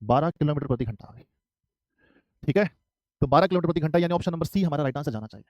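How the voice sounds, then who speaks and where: Fast-talking male math tutor, dry sound. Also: a little high, expressive, a little mellow, dry recording, muffled recording. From Haryana, India. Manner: repetitive, asks and answers his own questions. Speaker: math teacher. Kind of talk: live online exam-prep math class.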